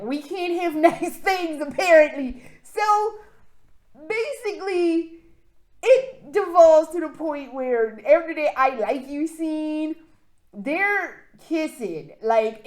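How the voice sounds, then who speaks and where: A woman vlogging casually about a video game in an excitable, profane, rambling way. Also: very high voice. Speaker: gaming vlogger. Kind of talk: casual vlog recap.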